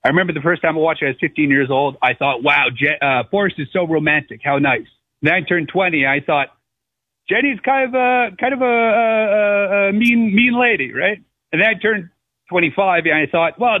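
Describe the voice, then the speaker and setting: A man in his thirties calling in to a radio show over the phone, chatting in a casual, rambling, halting and jokey way. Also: a little high, no room sound. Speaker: caller, comedian. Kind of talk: casual chat. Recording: tinny, narrow phone line on radio.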